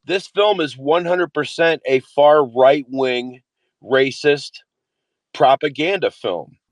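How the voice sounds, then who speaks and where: A man's voice: a little high, takes it slowly, no echo. A man delivering an impassioned monologue in a vehement, repetitive way, often swearing. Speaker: activist.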